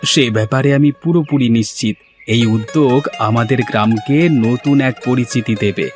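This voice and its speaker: A man's voice: medium pitch, moderate pace, music in the background, close to an everyday voice. A man voicing a proud, earnest village man in a cartoon, formal and promotional as he welcomes people. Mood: proud, welcoming. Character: proud, earnest village man launching a hilsa fish fair. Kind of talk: scripted cartoon dubbing.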